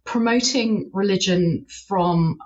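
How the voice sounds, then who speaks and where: A woman with an English accent, a law academic talking through her research in a lively, self-deprecating way with frequent restarts. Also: mid-range voice, takes it slowly, muffled recording. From England. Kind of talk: conversational interview, explanatory.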